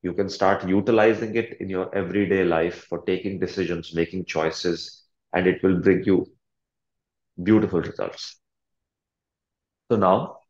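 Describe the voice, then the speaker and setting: A man leading a guided meditation as a coach, speaking calmly and deliberately with long pauses and soothing instructions. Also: low voice, reverberant, muffled recording.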